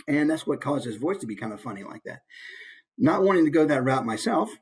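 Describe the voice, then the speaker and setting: A man's voice: fairly high pitch, lively intonation, a little fast, a little mellow, husky. A man with an American accent giving an informal, conversational instructional talk as a voice actor. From United States. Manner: conversational, demonstrates as he explains.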